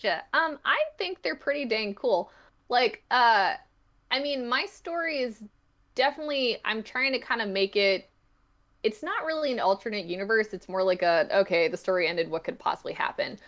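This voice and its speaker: A woman's voice: fairly high pitch, animated, moderate pace, fairly bright tone. A woman speaking as a podcast guest, explaining their own creative work in a chatty, informal way. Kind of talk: casual interview chat about their own story.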